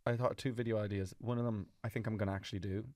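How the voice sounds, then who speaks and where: An Irish man co-hosting a casual comedy podcast, an animated storyteller who keeps breaking into mock voices. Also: fairly low pitch, rapid-fire, dry recording, studio quality. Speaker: podcast co-host.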